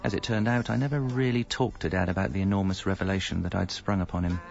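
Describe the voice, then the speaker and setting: Middle aged british husband owning up to his lies, wry self-mocking radio comedy, gravelly, bit muffled. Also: low voice, steady tone, fast talker. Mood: wry, confessional. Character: self-deceiving married man confessing his double life wryly. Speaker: narrator and lead character. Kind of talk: first-person radio comedy narration. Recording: dull lo-fi sound, faint music.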